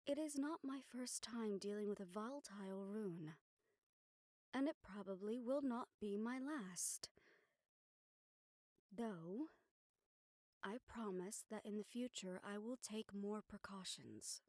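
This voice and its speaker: A woman performs a composed young noblewoman in an intimate audio drama. Her phrasing is formal and measured, delivered gently with deliberate pauses. The voice sits at a medium pitch, close on the mic in a very dry space. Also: no echo, close to an everyday voice. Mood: reassuring, dryly exasperated. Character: composed young noblewoman soothing her worried dragon bodyguard. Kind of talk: intimate audio roleplay acting.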